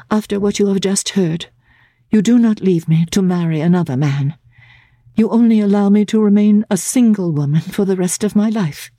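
A woman voicing an anxious, earnest young gentlewoman in a classic-novel audiobook, speaking haltingly and repeating her words. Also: mid-range voice, no echo, clean studio sound, voice pushed up, close to an everyday voice.

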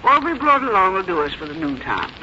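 A woman's voice: medium pitch, sing-song, a little fast, pushed and bright. An actress playing a fussy, fond but bossy older American farm mother in a 1950s radio drama, with homespun, matter-of-fact phrasing. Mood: brisk, fussy. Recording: muffled, noisy old radio broadcast recording.

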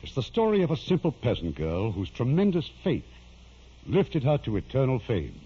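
Formal radio host, man, very husky, medium pitch, measured scripted narration on a muffled vintage broadcast. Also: huge pitch swings, warm tone. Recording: dull old radio broadcast with music.

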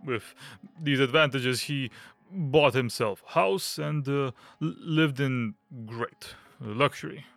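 An actor playing a nervous, fussy gentleman aesthete in an audio drama, hesitant and stammering in his delivery. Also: medium pitch, slow pace, dry recording. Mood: hesitant, recounting. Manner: hesitant, stammering, nervous giggles and throat-clearing.

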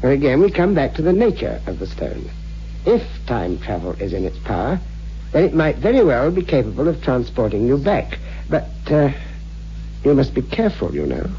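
Mellow, animated learned friend played by an actor. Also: male voice, medium pitch, close to an everyday voice. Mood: grave, cautioning. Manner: measured, explanatory, gently cautioning. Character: kindly, learned male friend explaining occult warnings. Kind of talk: 1960s radio horror drama acting. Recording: muffled old radio recording, faint hum.

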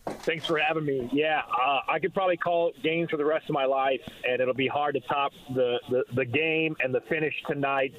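Excited male broadcaster retelling a game. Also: on the high side, dull audio. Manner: excited, fast-moving play-by-play retelling. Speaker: play-by-play broadcaster. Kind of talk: radio game recap.

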